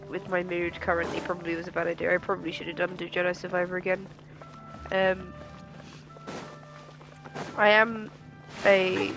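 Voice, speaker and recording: A woman's voice: on the low side, poor audio. A woman game streamer giving blunt, sardonic, casual live commentary, with game music behind.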